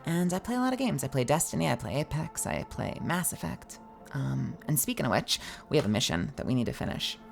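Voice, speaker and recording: A woman's voice: low voice, big pitch swings, fairly quick, creaky, soft background music. A young woman streaming games live, chatting playfully with her chat in a slightly scratchy voice.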